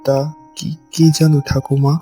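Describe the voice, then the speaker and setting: A man's voice: medium pitch, level tone, moderate pace, dark tone, faint music behind, lightly acted. A man voicing an anxious, bewildered young grandson who narrates and acts in a horror cartoon with dramatic, hesitant delivery. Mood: uneasy. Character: frightened, bewildered young grandson telling his ghost story. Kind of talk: first-person horror cartoon narration and dialogue.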